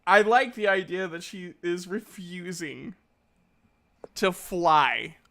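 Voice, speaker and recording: A man's voice: high voice, slow, gravelly, pushed and bright. A man improvising casual tabletop roleplay narration in a jokey, colloquial way. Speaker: tabletop RPG player.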